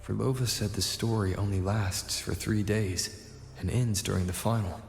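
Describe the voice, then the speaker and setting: Thoughtful male game protagonist; lowish gravelly voice, measured and calm, heavy echo. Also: faint music behind. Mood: pensive. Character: calm young male wandering hero, thoughtful investigator.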